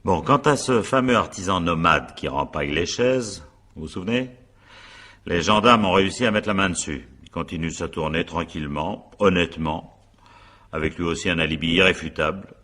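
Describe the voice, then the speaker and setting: An actor playing an examining magistrate in a French radio crime drama, probing and insistent, with a husky voice and pointed tag questions. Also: male voice, deep voice, moderate pace, pushed and bright. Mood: probing, measured.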